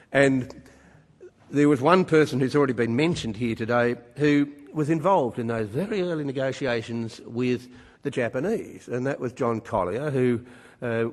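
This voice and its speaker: An older man gives a conference speech, emphatic and wry, full of rhetorical questions. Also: medium pitch. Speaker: conference speaker. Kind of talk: public speech at a mining conference. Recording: reverberant conference hall.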